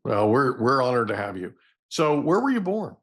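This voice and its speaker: A man with a mid-pitched voice who hosts a podcast. He asks easy questions in a friendly way and keeps his guest talking with short replies. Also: very animated, normal speed, a bit bright. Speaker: podcast host. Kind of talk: casual interview hosting.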